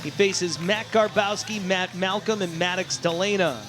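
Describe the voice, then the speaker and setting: A man calling live baseball play-by-play, descriptive between plays and excitable on big ones. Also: high-pitched, moderate pace. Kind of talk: live sports play-by-play commentary. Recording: crowd and music behind commentary. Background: ballpark crowd, music.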